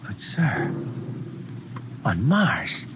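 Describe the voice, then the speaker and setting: A man playing an earnest, excitable young lieutenant of a space crew, hesitant and eager, in 1950s radio drama style. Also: medium pitch, extremely slow, noisy background, exaggerated voice. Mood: awed. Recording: dull old radio broadcast.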